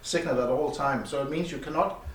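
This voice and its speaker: A man who speaks as a gives a halting technical explanation of audio gear as its designer. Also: a little high. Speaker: loudspeaker designer presenting gear at an audio show. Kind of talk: technical product explanation in conversation. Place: indoor demo room at an audio show. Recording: echoey room, distant mic, faint noise.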